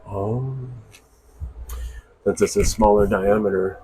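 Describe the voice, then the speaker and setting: A man's voice: fairly low pitch, fairly even intonation, slow, warm tone, breathy edge, low-quality recording. A man giving casual, informal commentary as he tinkers, musing aloud in short fragments. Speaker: DIY truck builder vlogger. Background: music playing in the background.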